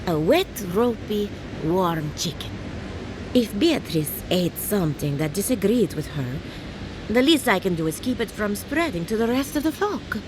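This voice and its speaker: A cheerful, eccentric necromancer who farms the undead for a fantasy game. Her delivery is theatrical and breezy, swinging widely in pitch, doting yet matter-of-fact about gore. There is clear noise behind her. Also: big pitch swings, a little slow. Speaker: quest-giving farmer. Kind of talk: video game voice acting.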